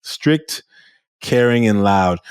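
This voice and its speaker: Host, male, low loud voice, animated chat, dry close sound. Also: takes it slowly, clear, resonant tone, dry recording. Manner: animated, restarts often, loud voice. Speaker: podcast host. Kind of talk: casual interview chat.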